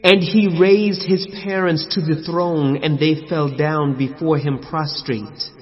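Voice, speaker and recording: Slow, high-voiced American man reading in reverb. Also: steady tone. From USA. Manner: measured, declamatory, with emphatic phrases. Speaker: reader of scripture translation. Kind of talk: solemn scripted scripture reading. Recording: long reverb, slightly muffled sound.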